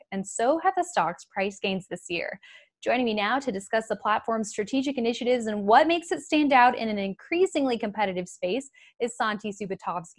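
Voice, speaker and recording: A woman in her thirties hosting a business news interview from a studio, in a polished, upbeat presenting manner. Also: on the high side. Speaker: news show host.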